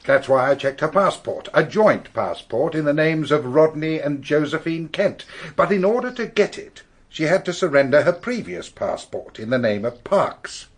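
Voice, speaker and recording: British detective in a radio play, formal, pressing, methodical, slightly rough voice, lively pitch. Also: male voice, moderate pace, hardly any pauses. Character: methodical, accusing male police investigator, dogged and formal. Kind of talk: radio drama acting.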